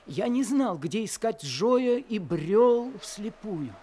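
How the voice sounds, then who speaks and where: A man playing a feverish, exhausted young man who narrates his story in a radio play, earnest and quiet. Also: sits high, big pitch swings, takes it slowly, slight background noise, exaggerated voice. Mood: weary. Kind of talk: radio drama narration and dialogue.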